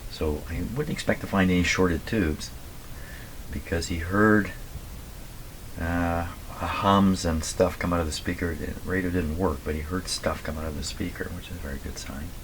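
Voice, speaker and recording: A man with a slightly hoarse voice gives casual running commentary as he works, muttering to himself and reading things out deliberately. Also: low-pitched, steady tone. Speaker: radio repairer and video host. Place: radio repair workshop at a bench. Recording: clearly noisy workshop recording with clicks.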